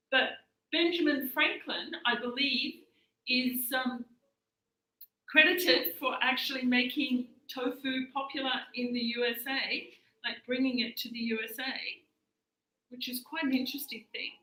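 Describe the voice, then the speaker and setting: An Australian woman nearing sixty chats her way through a live cooking demo, pausing often on fillers. Her voice is bright and a little high. The room is echoey. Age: 50s. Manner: chatty, hesitant, many fillers, switches into Mandarin. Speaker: cooking instructor.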